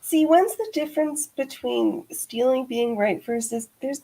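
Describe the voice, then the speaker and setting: A woman's voice: high-pitched, a little slow, gravelly, dark tone, poor audio. A woman joining a casual chat, mostly agreeing and chiming in with short replies. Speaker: co-host or guest in the chat.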